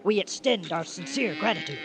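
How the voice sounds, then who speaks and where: A man's voice: high-pitched, lively intonation, brisk, over music. A man voicing a solemn alien leader with stilted, precise, formal speech in a comic science fiction radio play. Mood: solemn gratitude. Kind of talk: comic sci-fi radio acting. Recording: noisy radio drama recording.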